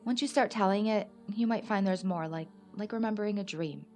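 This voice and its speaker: A woman acting in a radio play as a concerned, steady federal agent, questioning and reassuring. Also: mid-range voice, brisk, faint music behind, close to an everyday voice. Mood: businesslike.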